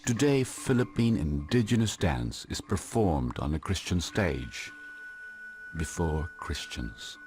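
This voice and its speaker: A man giving measured, deliberate scripted documentary narration. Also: low voice, very animated. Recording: narration over clear background music. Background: instrumental music.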